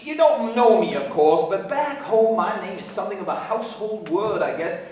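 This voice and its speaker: A wealthy, boastful American businessman in a radio play. He talks in a high-pitched, folksy, garrulous way full of slang. The sound is very echoey and set back from the microphone. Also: lively intonation, moderate pace, darkish tone, far from the mic. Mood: chatty, boastful. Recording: very echoey room, off mic.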